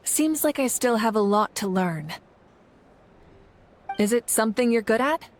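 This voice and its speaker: A woman's voice: on the high side, lively intonation, a little slow. An actress playing a composed, friendly young warrior woman with an earnest, curious manner in a video game. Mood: friendly, sincere. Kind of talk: video game dialogue acting.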